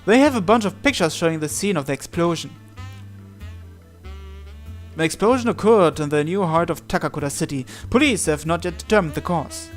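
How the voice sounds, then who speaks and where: Male game host, fairly high voice, dramatic read-aloud of visual novel text with casual asides, music underneath. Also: expressive, brisk. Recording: music behind the voice.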